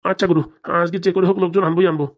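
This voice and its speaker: Lowish, hurried servile henchman voiced by a woman. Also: fairly even intonation, darkish tone, lightly acted. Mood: defensive. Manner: deferential, excuse-making. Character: servile, defensive male henchman of a crooked boss. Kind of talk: comic cartoon dialogue.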